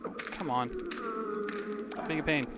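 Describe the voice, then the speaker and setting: A man's voice: on the high side, steady tone, normal speed. A man giving casual video game commentary in a hesitant, rambling, wry way. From Canada. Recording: very noisy game sound behind commentary.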